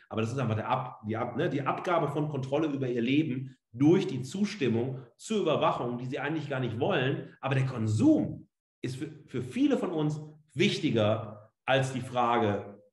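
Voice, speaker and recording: A middle-aged man, a professor giving an online lecture in a freely spoken, emphatic, conversational way. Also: medium pitch. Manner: emphatic, conversational, explanatory with rhetorical questions. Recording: roomy, echoing room sound.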